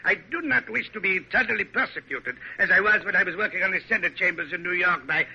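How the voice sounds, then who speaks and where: High-voiced, haughty French major. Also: male voice, fast talker, vocal fry, slightly rough, exaggerated voice. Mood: indignant. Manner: haughty, theatrical, indignant. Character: proud, temperamental French major, artist-planner of a capital city. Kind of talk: classic radio drama acting. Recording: dull, lo-fi old radio recording.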